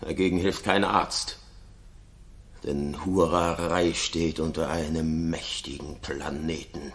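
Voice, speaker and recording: A man's voice: extremely low pitch, steady tone, takes it slowly, full character voice. An actor playing a jealous, paranoid king in a 1960s German radio play, brooding and theatrical, moving between muttering and outbursts. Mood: bitter brooding.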